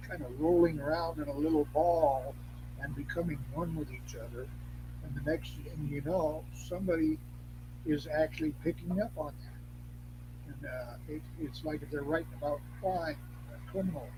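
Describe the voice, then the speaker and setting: An older man talks as a podcast guest in a fairly high voice. He rambles casually and haltingly, repeating words for emphasis. A clear hum and noise sit on the recording. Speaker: podcast guest, elder of a witchcraft tradition. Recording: noisy line with hum.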